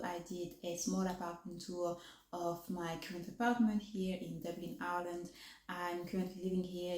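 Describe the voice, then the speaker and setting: A French woman chats to camera in English, her second language, hesitating often with fillers and restarts, her speech a little thick from braces. Her voice is lowish and mellow. The room around her rings with echo. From France. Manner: articulation a little off from new braces. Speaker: vlogger. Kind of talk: casual chat to camera.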